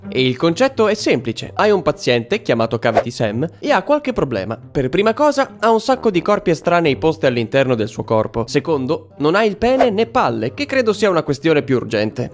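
A man giving scripted comic narration for a cartoon, in a sarcastic, exasperated and crude manner. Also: a little high. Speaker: narrator of an animated comedy list. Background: music.

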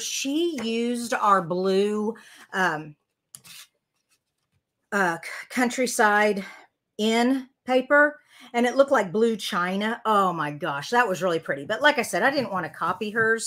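An older woman talks through a card-making project live from her craft desk. Her voice is bright and clear. She chats casually, corrects herself often and greets viewers by reading their comments. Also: medium pitch. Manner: chatty, self-correcting, reads viewer comments aloud.

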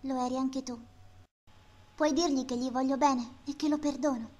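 A woman's voice: high-pitched, steady tone, moderate pace, background noise, lightly acted. A woman playing the wounded, resentful ghost of a young girl in an amateur dubbed TV drama, with an emotional, plaintive manner. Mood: tender, sorrowful. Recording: music bed behind the voice.

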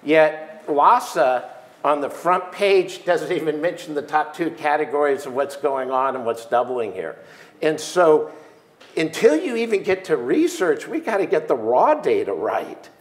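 A man's voice: on the high side, very animated, a little slow, reverberant. A man in his sixties, a panel guest at a conference, talking emphatically with many restarts on a lapel mic. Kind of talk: conference keynote conversation. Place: stage in a large room, seated in armchair.

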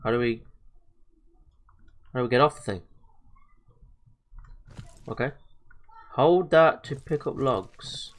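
A man's voice: medium pitch, takes it slowly, long pauses. Chatty man, bit bunged up. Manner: chatty, hesitant, lots of fillers and self-talk. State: slightly bunged up from a cold. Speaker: gaming video host. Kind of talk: casual let's-play commentary.

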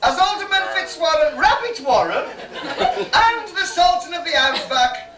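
A woman's voice: very high voice, animated, normal speed. A woman acting as a theatrical, teasing master of ceremonies, making comic pantomime introductions to a live audience. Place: small dim stage in a theatre bar. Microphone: far camera. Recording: distant echoey noisy bar stage recording.

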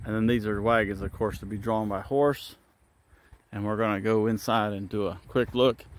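A man's voice: lowish voice, fairly even intonation, moderate pace, slight background noise. A man giving a casual walking tour of an old wooden barn, thinking aloud and unsure of what he sees, recorded on a handheld phone. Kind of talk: casual walk-and-talk narration. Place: open-sided wooden barn outdoors. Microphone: handheld phone camera.

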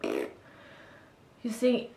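A woman's voice: drawn-out words, warm tone, reverberant, a little hiss or hum. A young woman with a Swedish accent, speaking English as a second language, talks through her thoughts to the camera in a small room, searching for words as she goes. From Sweden. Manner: thoughtful, searching for words, gestures while talking. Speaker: vlogger. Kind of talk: reflective casual monologue to camera. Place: small room indoors, seated on a bed.

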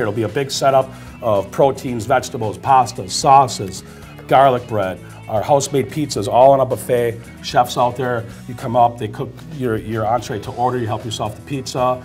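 Middle-aged chef with an Upper Midwest accent. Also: male voice, medium pitch, darkish tone, soft background music. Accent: Upper Midwest American. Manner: plain, unhurried, many ums and uhs. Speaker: head chef. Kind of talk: cooking demonstration. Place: indoor studio kitchen set.

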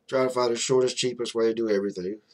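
A man's voice: medium pitch, fairly even intonation, rapid-fire, a little mellow. A young man giving casual, blunt political commentary to a desk webcam in a small room, full of fillers. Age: young adult. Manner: blunt, conversational, many fillers like "you know". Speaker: vlogger. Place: small room indoors, flag on wall behind.

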